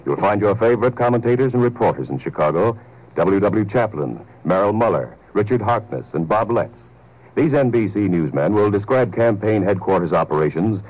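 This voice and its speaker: A male network announcer from vintage radio, with a low voice. He delivers a scripted promotional read in the formal, measured manner of 1950s broadcasting. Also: darkish tone, low-quality recording.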